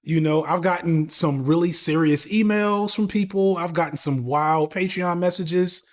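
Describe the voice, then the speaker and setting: A man's voice: on the high side, unhurried. A man in his thirties, a podcast guest talking casually and candidly, with slang, swearing and frequent restarts. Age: 30s. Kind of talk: casual conversational interview.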